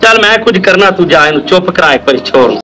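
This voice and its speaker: A man's voice: sits high, lively intonation, fast, bright, forceful tone. A man playing a poor, placating labourer father in an amateur drama, speaking with a Pakistani Punjabi accent. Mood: weary, placating. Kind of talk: amateur social drama acting.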